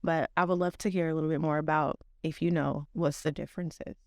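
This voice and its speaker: A woman's voice: deep voice, steady tone, fairly quick, a little mellow, dry recording, clean studio sound. A young woman, a health educator, speaking on a podcast in a thoughtful way, with many fillers. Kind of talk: informational podcast talk.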